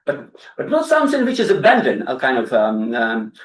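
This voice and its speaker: A man giving an academic lecture as guest speaker, halting, with many fillers and repeated words. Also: mid-range voice, huge pitch swings. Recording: roomy, distant lecture-hall sound.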